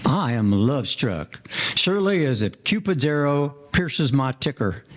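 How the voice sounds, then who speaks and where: An actor playing a broke, sentimental retired bootlegger in an old-time gangster audio play, with formal, slangy speech. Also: male voice, a little high. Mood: lovestruck, wistful. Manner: formal slang-laden storytelling.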